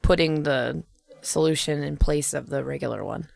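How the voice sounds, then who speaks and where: A woman's voice: deep voice, normal speed, gravelly, darkish tone, dry recording. A woman co-hosting a podcast, brainstorming casually with joking, foul-mouthed remarks. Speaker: podcast co-host.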